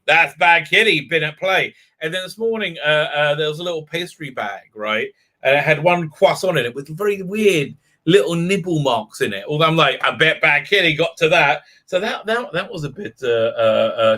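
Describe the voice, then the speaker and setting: Livestream host, man, chatty and rambling, very bright tone. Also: fairly high pitch. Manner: rambling, many fillers and restarts. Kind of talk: casual livestream chat.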